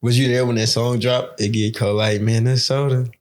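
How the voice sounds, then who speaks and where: A man hosting a podcast, bantering with slang and jokes. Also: normal speed. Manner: joking, slangy banter with lively swings in pitch. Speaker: podcast host. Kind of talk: casual chat.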